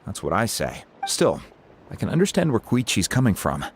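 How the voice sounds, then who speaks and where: Very low-pitched easygoing older mentor. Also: male voice, huge pitch swings, slight background noise. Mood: rueful, philosophical. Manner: measured, reflective storytelling. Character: easygoing, world-wise older male mentor, caring. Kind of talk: game voice acting.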